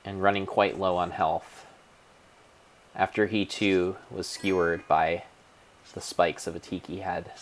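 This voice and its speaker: Deep-voiced man, theatrical storybook narration. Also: level tone, slight background noise. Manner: theatrical, solemn, mock-epic delivery with drawn-out words. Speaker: streamer narrating own gameplay. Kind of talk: dramatic storybook narration of a video game.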